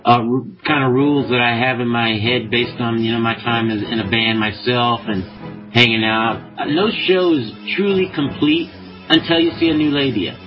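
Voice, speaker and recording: A man's voice: medium pitch, steady tone, normal speed, lo-fi sound, over music. A man guest on a podcast, talking in a laid-back, rambling way, full of swearing and fillers. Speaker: podcast guest, show promoter. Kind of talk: casual chat.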